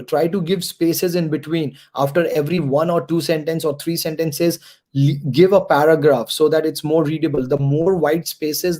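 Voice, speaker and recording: A man with a slightly high, mellow voice leads an online career workshop for students. He coaches patiently, repeats his key points and keeps checking in with the audience. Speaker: mentor presenting a career workshop.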